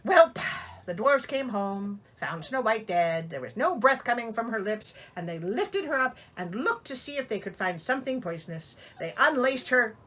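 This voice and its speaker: A woman's voice: a little high, lively intonation, normal speed, fairly bright tone. A woman reading a storybook aloud in a theatrical way, breaking in with sarcastic, exasperated comic asides. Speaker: storyteller, host of a reading show.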